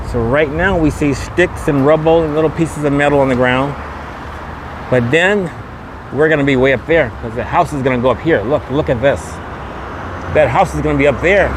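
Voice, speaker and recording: A man with a slightly high voice hosts a walking vlog. He speaks in repetitive, promotional catchphrases. He is recorded outdoors on a street, with clear traffic noise behind him. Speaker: vlogger and host. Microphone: handheld camera. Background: traffic and vehicle noise.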